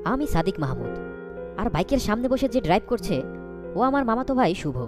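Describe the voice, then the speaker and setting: A woman narrating a scripted first-person story with dialogue in an expressive storytelling manner. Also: mid-range voice, fairly quick. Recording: loud background music.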